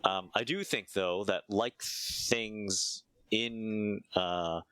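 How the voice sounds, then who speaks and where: Low-voiced American man, reflective. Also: fairly even intonation, pushed and bright, no echo. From United States. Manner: measured, thinks aloud with frequent "uh" and "right?". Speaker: interview guest, martial arts teacher. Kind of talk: reflective conversational explanation.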